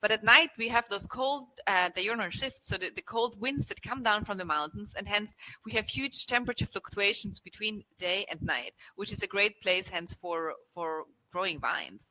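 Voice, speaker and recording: A woman's voice: mid-range voice, normal speed, bright, forceful tone, rough recording. A woman from northern Italy whose first language is German, chatting in a casual video-call interview as a winery guest, often tagging "right?" and restarting phrases. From Alto Adige, northern Italy. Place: indoors with a mountain backdrop.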